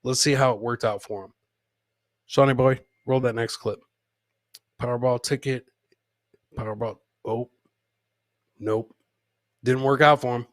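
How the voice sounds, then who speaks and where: A man's voice: medium pitch, fairly even intonation, a little slow, pauses often, no echo. A man hosting a comedy show, casually commenting on clips with crude, mocking humour and repeating his punchlines.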